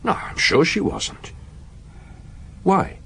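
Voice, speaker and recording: A man's voice: a little high, wildly swooping pitch, slow, gravelly, a bit bright, slight background noise, full character voice. An actor playing an earnest, lovelorn young junior detective in a radio drama, hesitant and defensive but deferential to his superior. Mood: hesitant, tense.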